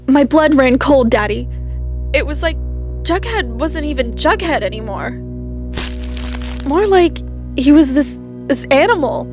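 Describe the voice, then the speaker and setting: A snobbish, wealthy teenage girl, played by a woman narrates with sarcastic drama. Her voice sits high, and clear music plays behind her. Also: lively intonation, a little slow, pauses often, darkish tone. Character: snobbish rich teen girl.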